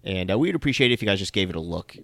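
A man's voice: low-pitched, animated, very fast, gravelly, a bit bright, dry recording. A young man with a nasal, stuffy voice, getting over a cold and chatting casually as a podcast co-host, rambling with lots of fillers. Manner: rambling, laughs often, filler-heavy. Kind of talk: casual chat.

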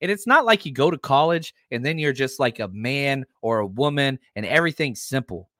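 A man's voice: mid-range voice, lively intonation, brisk, fairly bright tone, no echo, studio quality. A man in his forties hosting a sports podcast, talking emphatically and repeating phrases for stress. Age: 40s. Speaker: podcast host.

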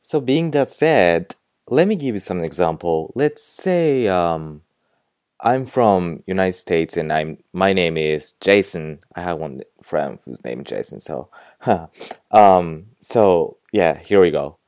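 A man's voice: deep voice, expressive, slow, darkish tone, no echo, clean studio sound. A young man from Japan with a Japanese accent who speaks English as a second language. He hosts a casual podcast lesson and chats loosely, filling his talk with "like" and "you know". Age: young adult. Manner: chatty, fills speech with "like" and "you know". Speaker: podcast host and language teacher.

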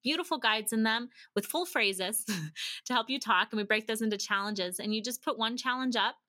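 Bright, high-voiced chatty woman. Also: moderate pace, no room sound. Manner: chatty, acts out quoted dialogue. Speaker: podcast guest, language-learning company founder. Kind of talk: animated conversational storytelling and explaining.

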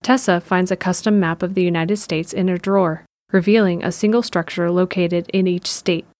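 A woman's voice: fairly low pitch, steady tone, normal speed, darkish tone, no echo. A woman reading scripted narration for an audio drama.

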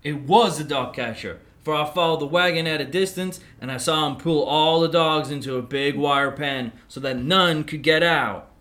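A woman's voice: deep voice, moderate pace, few pauses, a bit bright. A big, friendly pet dog from a storybook, put on by a woman narrator. He speaks with earnest concern, as a loyal companion with something important to tell. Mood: grave, helpful. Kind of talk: children's storybook reading.

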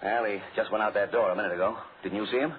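Actor as impatient low-voiced radio director. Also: male voice, fairly even intonation, rapid-fire, lightly acted. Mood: puzzled. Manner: hesitant, impatient, bossy. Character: exasperated, brisk radio show director. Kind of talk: 1940s radio acting. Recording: scratchy, tinny 1940s radio recording.

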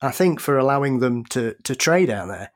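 A man's voice: medium pitch, animated, normal speed. A man, a podcast guest who laughs often and recounts historical stories in detail. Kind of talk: casual podcast conversation.

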